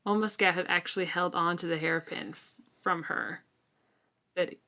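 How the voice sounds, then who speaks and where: Casual woman with a lowish voice. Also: fairly even intonation, unhurried, bright, forceful tone. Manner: colloquial, conversational. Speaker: co-host of a book discussion podcast. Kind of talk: casual chat recapping and reviewing a fantasy novel.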